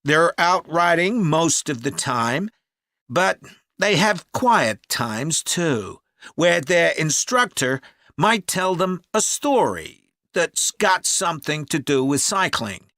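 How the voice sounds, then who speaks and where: A man's voice: a little high, very animated, sharp tone, no echo, clean studio sound, heavily acted. A man playing a helpful, upbeat camp manager explaining things to a caller in an acted listening-test dialogue. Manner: informative, measured, upbeat. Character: helpful, upbeat male cycle camp manager taking a call.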